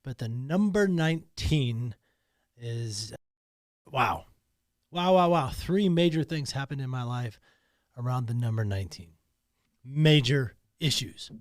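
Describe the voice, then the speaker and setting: A man's voice: medium pitch, expressive, slow, long pauses, no echo. A man talking alone in a podcast studio, emotional and emphatic, often repeating himself. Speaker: podcast host. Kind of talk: personal monologue.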